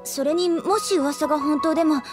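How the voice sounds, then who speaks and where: A woman's voice: sits high, moderate pace, over music, natural voice. An actress playing a sincere, concerned teenage schoolgirl, speaking earnestly in an anime audio drama. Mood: concerned, protesting.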